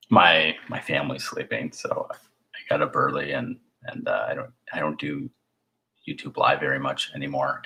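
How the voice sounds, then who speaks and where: A man with a raspy voice hosting a casual live stream as a filmmaker, rambling in a chatty way and sometimes dropping to a whisper. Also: rumbling low. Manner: hushed at times, drifting into whispers; chatty, with fillers and restarts.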